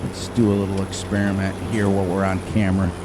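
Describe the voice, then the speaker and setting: A middle-aged man with a loud voice, rambling and thinking aloud as he comments casually on his gameplay into a desk microphone. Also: low voice, completely flat delivery, fast talker. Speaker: gaming channel host. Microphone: desk microphone turned down low. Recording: game engine noise behind.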